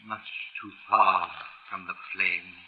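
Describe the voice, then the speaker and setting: An actor playing a sinister, seductive lover back from the dead in a 1940s radio horror drama. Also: male voice, deep voice, little pitch movement, slow pace. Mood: eerie, beckoning. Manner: coaxing, commanding. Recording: dull, noisy vintage radio recording.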